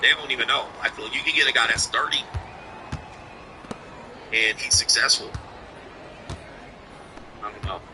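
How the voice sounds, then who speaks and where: A man's voice: mid-range voice, moderate pace, pauses often, background noise. A man in his forties hosting a live stream, ranting bluntly and profanely in a casual, opinionated way. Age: 40s. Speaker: livestream host. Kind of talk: casual livestream rant.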